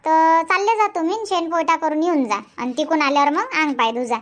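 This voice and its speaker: A woman's voice: extremely high pitch, a little fast. A woman voicing an obliging young son in a dubbed cartoon comedy, helpful and agreeable.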